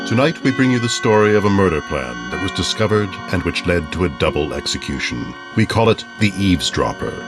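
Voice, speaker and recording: A man's voice: low voice, very animated, fairly quick, hardly any pauses, loud music behind the voice. A man playing a grave, formal announcer introducing a 1950s-style radio thriller. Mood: grave, portentous. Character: solemn male announcer of a vintage radio thriller.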